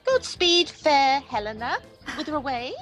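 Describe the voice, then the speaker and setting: Actress as high-voiced, devoted young Hermia. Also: female voice, lively intonation, unhurried, fairly bright tone, faint music behind. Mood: loving, eager. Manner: heartfelt, theatrical verse delivery. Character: Hermia, devoted, earnest young woman in love. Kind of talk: Shakespearean radio play acting.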